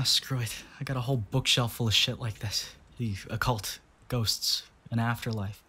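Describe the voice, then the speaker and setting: A man's voice: medium pitch, fairly bright tone, husky. An actor playing a blunt young man with an interest in the occult, who talks in a blunt, sometimes crude way. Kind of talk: audio drama acting.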